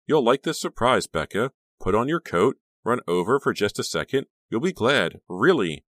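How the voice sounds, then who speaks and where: Man voicing a pushy, eager girl, pitched way up. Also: big pitch swings, normal speed, fairly bright tone, no echo, studio quality. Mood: eager, insistent. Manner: cheerful, urging, wheedling. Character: eager pushy teenage girl on the phone, giggly, insistent.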